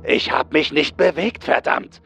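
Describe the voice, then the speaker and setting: Man acting a high, panicking male astronaut. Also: measured pace, gravelly, faint music behind, exaggerated voice. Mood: panicked. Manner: shouting, panicked. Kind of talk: dramatised horror audiobook dialogue.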